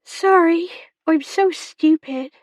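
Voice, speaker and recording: A woman's voice: very high voice, slow, dry recording, voice pushed up. A woman voicing a timid, uneducated servant girl in an audiobook, with rustic, hesitant speech. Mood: tearful, apologetic. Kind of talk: audiobook character dialogue.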